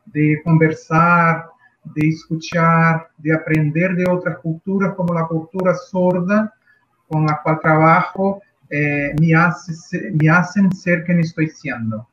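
A Brazilian man with a Portuguese accent speaks Spanish slowly and carefully, often pausing to find his words. His voice sits a little high for a man. The audio is muffled and lo-fi, like a remote call. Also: steady tone, fairly bright tone. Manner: slow, thoughtful, searching for words. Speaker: guest teacher. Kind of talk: reflective interview answer.

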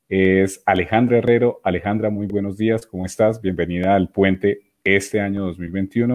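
A man's voice: on the low side, darkish tone. A man hosting a radio talk program and welcoming guests in a loose, friendly way that repeats itself.

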